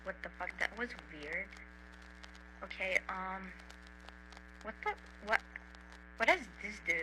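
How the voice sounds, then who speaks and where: Woman as awkward young male horror-cartoon character, slow, hesitant, deadpan, sharp bright tone, faint hum. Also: mid-range voice, close to an everyday voice. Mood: bewildered. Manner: hesitant, deadpan, mumbling with many pauses. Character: aimless, awkward, foul-mouthed young male named Angel. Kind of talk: indie animated analog horror dialogue.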